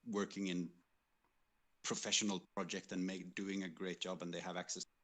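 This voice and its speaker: A man's voice: low voice, level tone, moderate pace, a bit bright. A man co-hosting a livestream, talking informally and hesitantly as he recounts past work, repeating words while he searches. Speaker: livestream co-host and game developer.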